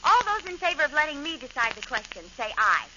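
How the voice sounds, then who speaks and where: A flustered, officious chairwoman in a vintage radio comedy. Her high voice rises and falls sharply as she fussily calls for order. The recording is muffled and noisy. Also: sing-song, fast. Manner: formal, fussy, pleading for order. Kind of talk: 1940s radio sitcom acting.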